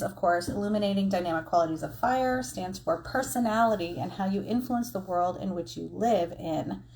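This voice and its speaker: A woman's voice: mid-range voice, a little mellow. Female oracle reader, mid-range voice, informal and explanatory, encouraging conversational delivery. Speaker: tarot and oracle card reader. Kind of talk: casual explanatory card reading to camera.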